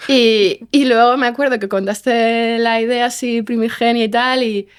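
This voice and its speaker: A woman's voice: on the high side, steady tone, normal speed, clear, resonant tone. A woman with a Spain Spanish accent chatting casually as an interview guest, colloquial and exclamatory as she tells stories. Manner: colloquial, exclamatory, quotes herself. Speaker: podcast guest, fiction writer. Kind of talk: casual chat, storytelling.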